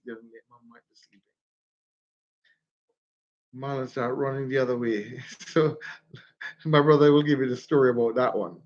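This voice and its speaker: Man, stuffy nose, rambling livestream chatter, middle pitch, muffled phone audio. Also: moderate pace, pauses often. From Jamaica. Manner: rambling, chatty, laughs often while telling stories. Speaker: vlogger. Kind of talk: casual livestream chat.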